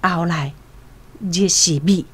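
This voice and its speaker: A woman with a Taiwanese accent narrates a scripted story for radio in an expressive, deliberate, dramatic way. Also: lowish voice, husky. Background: music.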